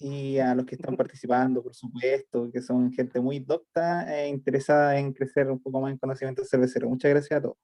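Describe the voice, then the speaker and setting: A man's voice: mid-range voice, flat delivery, fairly quick, hardly any pauses, warm tone, no echo. A man organizing an online beer talk, chatting in an upbeat, joking way on a video call. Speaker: event organizer. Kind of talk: casual video call chat. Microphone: computer mic on a video call.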